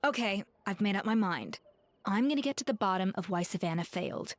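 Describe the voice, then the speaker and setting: An actress playing a proud, exacting master chef with high standards in a video game. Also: female voice, expressive, a little fast, close to an everyday voice. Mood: determined, stern. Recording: background music behind the voice.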